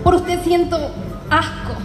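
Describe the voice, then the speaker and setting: A haughty young rancher's daughter, acted by a woman in a live radio melodrama. Her very high, indignant voice is echoey, with music behind it. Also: flat delivery, takes it slowly.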